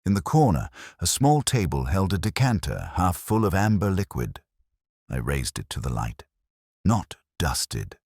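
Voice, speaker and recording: A man playing a brooding police inspector who narrates a murder case in a measured, reflective, literary way. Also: low-pitched, sing-song, a little mellow, husky, dry recording, studio quality, heavily acted. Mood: quietly suspicious. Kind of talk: first-person scripted detective story narration.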